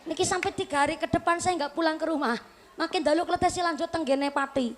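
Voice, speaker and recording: A Javanese-accented woman in her late twenties preaching to a live audience, her voice sitting high in pitch. She keeps things light and chatty, weaving jokes into the talk and speaking straight to the crowd. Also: quick pace, slight background noise. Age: late 20s. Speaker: Islamic preacher.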